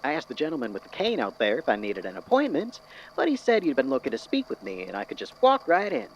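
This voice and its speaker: An actor playing an eccentric, courteous, musing storyteller with a folksy, wordy, theatrical manner in an audio drama. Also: male voice, mid-range voice, wildly swooping pitch, fast. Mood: affable, chatty. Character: eccentric, courteous, philosophical storyteller man from Tennessee. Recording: dull lo-fi audio with clear noise.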